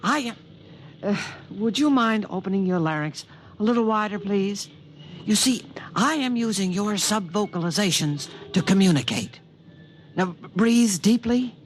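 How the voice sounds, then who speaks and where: An actor plays an eager, protective invisible alien from a fifties radio play. The speech is formal, wordy and precise, swinging widely in pitch, with faint noise from an old broadcast recording. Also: expressive, unhurried, long pauses, lightly acted. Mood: eager, searching. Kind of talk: 1950s radio drama acting. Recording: noisy vintage 1950s radio recording.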